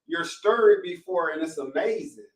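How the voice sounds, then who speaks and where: A man's voice: a little high, animated, moderate pace, distant mic. A man hosting a podcast, asking a guest questions in a stammering, encouraging way. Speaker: podcast host. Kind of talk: interviewing a guest. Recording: roomy, off-mic sound.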